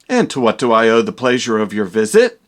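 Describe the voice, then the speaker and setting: A stiff, officious male police inspector, played by a man, who speaks in slow, clipped phrases that carry a quiet threat. His pitch rises and falls broadly as he interrogates. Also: expressive.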